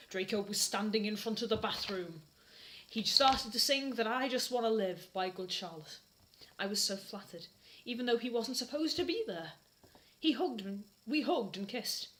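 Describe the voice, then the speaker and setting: A woman's voice: mid-range voice, a bit bright, slight background noise, poor audio. A British woman hosting and reading fan fiction aloud for comedy, keeping a straight-faced delivery. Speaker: host and reader.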